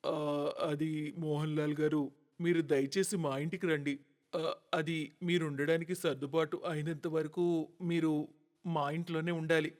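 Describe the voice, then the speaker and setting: A man's voice: fairly high pitch, flat delivery, a little slow, natural voice. A man playing a humble, generous middle-aged father in a cartoon story, polite and hesitant, in a reverberant recording. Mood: humble, inviting. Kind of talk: cartoon moral story acting.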